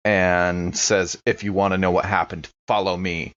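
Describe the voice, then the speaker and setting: Male tabletop player, fairly deep, casual in-game narration, slightly muffled recording. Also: level tone, moderate pace, background noise. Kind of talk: casual in-character narration of an actual-play game.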